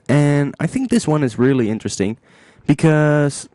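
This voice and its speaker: A man hosting a casual step-by-step music software tutorial, thinking aloud informally as he demonstrates. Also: a little high, moderate pace, noisy background. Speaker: music production tutorial host.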